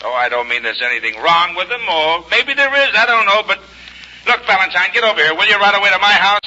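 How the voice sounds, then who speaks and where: An impatient American police lieutenant through a telephone filter in an old radio mystery. The voice is piercing and fairly high, with emphatic, sardonic delivery. The vintage recording lacks clarity. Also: male voice, lively intonation, fast, pushed and bright, lo-fi sound, heavily acted. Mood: impatient, blunt. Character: irritable, sardonic male police lieutenant phoning a friend. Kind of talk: 1950s American radio detective drama acting.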